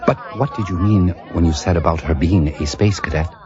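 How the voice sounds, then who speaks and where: An actor playing a naive, polite alien visitor in a comic audio drama, speaking formally, literally and haltingly. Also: male voice, low-pitched, a crowd in the background, natural voice. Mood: earnest, curious. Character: naive, polite male alien robot-like visitor among humans. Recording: dull lo-fi sound, music behind.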